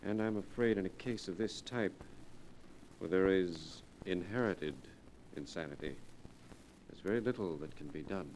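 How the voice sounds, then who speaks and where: An actor playing a grave, matter-of-fact doctor in an old-time radio drama. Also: male voice, low voice, normal speed, darkish tone, natural voice. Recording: faintly noisy old radio recording.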